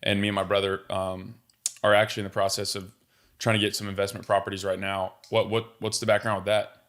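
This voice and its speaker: A man hosts a casual interview in a low voice. His intonation stays quite even, and he talks in a relaxed, slangy, informal manner. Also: fast. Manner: laid-back, informal, with buddy-like slang. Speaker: podcast host. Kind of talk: casual chat.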